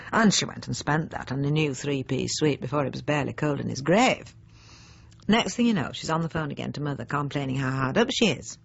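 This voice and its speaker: A woman's voice: low voice, gravelly, breathy edge, exaggerated voice. A woman acting a self-righteous, snobbish middle-aged housewife in a confiding radio drama monologue, prim, pointed and self-justifying. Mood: disapproving. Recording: slightly dull, lo-fi radio recording.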